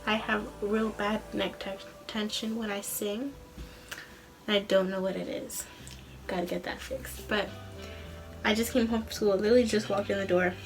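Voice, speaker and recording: A woman's voice: mid-range voice, level tone, moderate pace, soft background music. A young adult woman speaking as a vlogger in a casual, rambling, informal storytelling way. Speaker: vlogger, student singer.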